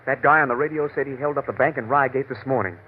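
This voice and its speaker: An actor playing a stern, protective rancher husband in an old radio drama, firm and urgent. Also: male voice, medium pitch, fast talker, close to an everyday voice. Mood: insistent. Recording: noisy, tinny vintage radio recording.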